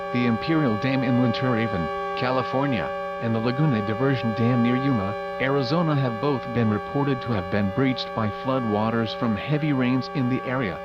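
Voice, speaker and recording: A synthetic male voice reading scripted emergency weather bulletins as an automated radio announcer, in a formal, impersonal, measured way. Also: medium pitch. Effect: weather radio broadcast. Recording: muffled noisy weather radio broadcast, humming.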